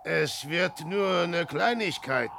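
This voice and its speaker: Self-centred wanderer, high bright voice, slow theatrical delivery, vintage radio drama with faint noise. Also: male voice, gravelly, heavily acted. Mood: brooding, self-important. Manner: theatrical, declamatory. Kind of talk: 1960s radio drama acting.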